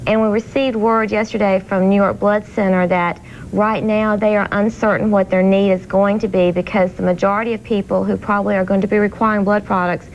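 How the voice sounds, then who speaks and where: A woman's voice: little pitch movement, brisk. An American woman speaking as a guest in a live news interview, explaining earnestly as a blood bank representative. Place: TV studio. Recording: dull noisy VHS broadcast, low rumble.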